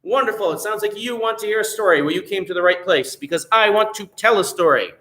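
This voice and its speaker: A man telling a children's story at a library storytime, animated and playful, chatting with his young listeners. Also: high voice, quick pace, reverberant.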